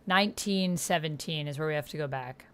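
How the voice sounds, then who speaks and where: A woman hosting an online roleplay, chatting casually and thinking out loud, sometimes reading typed chat aloud. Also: low-pitched, a little fast. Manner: musing aloud to herself. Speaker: host of an online text roleplay playthrough. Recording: keyboard typing, clear background noise. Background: computer keyboard typing.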